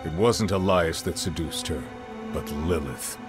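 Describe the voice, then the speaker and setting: Extremely deep, stoic wandering adventurer. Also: male voice, measured pace. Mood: solemn. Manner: terse, solemn. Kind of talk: dark fantasy game acting. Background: game music.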